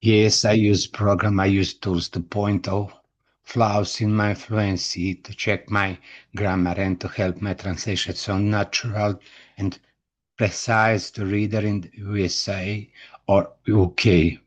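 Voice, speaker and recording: Slow, husky-voiced Croatian-accented man. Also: lowish voice, flat delivery. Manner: halting, broken English with many restarts and repeated words. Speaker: indie author and book vlogger. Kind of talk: nervous personal talk to camera.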